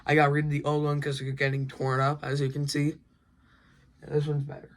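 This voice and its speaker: A man hosting a casual guessing-game vlog, informal and excitable. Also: a little high, a little fast. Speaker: video host.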